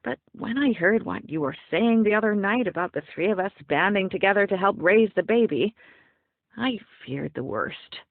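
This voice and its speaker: A long-suffering boyfriend acted by a woman reader makes his case with melodrama in storybook dialogue. The pitch is medium for a woman, and the recording is dry with slightly dulled sound. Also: expressive, normal speed, dry recording, phone-quality sound, close to an everyday voice. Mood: wilted, relieved.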